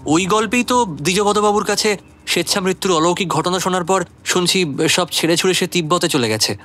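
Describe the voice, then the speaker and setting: A man's voice: sits high, fairly quick, bright, forceful tone, faint music behind. A man in a radio drama playing an earnest, dreamy young writer, respectful and emotive in his speech. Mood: wistful. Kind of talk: Bengali radio drama acting.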